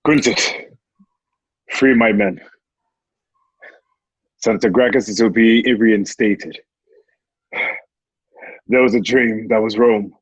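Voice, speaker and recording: A dull, lo-fi recording of a man playing a dying Roman warrior in a radio play. He speaks low and extremely slowly, halting but solemn and resolute. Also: fairly even intonation, husky, dry recording. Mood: solemn, fading. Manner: halting, laboured delivery. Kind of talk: amateur radio play acting.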